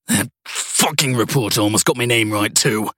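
A man's voice: on the low side, moderate pace, a bit bright, no echo. A man voicing a cornered male criminal in an audiobook, panicked and indignant, with spitting outbursts. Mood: raging. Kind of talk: audiobook character dialogue.

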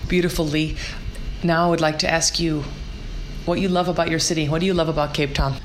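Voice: medium pitch, level tone, a little fast, rough recording. An interviewer in a middle register asks questions with open enthusiasm, gushing a little as they set up each topic. A faint ambient noise sits in the background. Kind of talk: conversational interview.

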